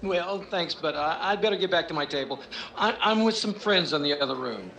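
A man playing a smug young show-off with halting delivery in an old-time radio comedy. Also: on the high side, creaky, sharp tone, rough recording. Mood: breezy, smug.

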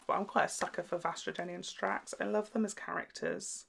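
Creaky-voiced young British man chatting. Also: high-pitched, steady tone, brisk, a bit bright, a little hiss or hum. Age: young adult. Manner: enthusiastic, informal, sweary. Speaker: reaction show host. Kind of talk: casual chat. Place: small indoor room at home with bookshelves.

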